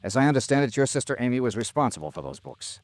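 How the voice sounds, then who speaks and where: An actor playing an earnest, insistent American investigator in an old-time radio drama, speaking in urgent, clipped phrases. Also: male voice, medium pitch, very animated, fast, no room sound, close to an everyday voice. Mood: firm, urgent. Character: insistent, earnest male insurance investigator, gentle but hard-boiled.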